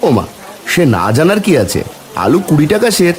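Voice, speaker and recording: A meek village husband and father from a cartoon, played by a man, with a slightly high voice. His pitch moves around a lot, and he sounds plaintive and expressive, like a put-upon shopper. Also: normal speed. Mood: surprised, indignant. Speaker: cartoon voice actor.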